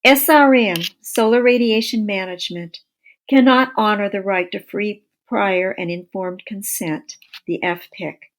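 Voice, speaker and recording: A woman narrates an audiobook from a prepared script. Her voice sits at a middle pitch for a woman, and her delivery is measured and explanatory. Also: rough recording.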